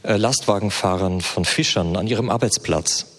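Male sermon interpreter, lowish voice, fluent with hesitation fillers, long hall reverb. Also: moderate pace.

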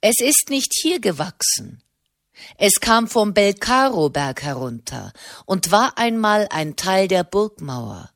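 A woman's voice: medium pitch, very animated, slow, dry recording, studio quality. A woman voicing a large, aging nobleman who stays cheerful and unruffled while he teases, in a storybook reading. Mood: cheerfully smug.

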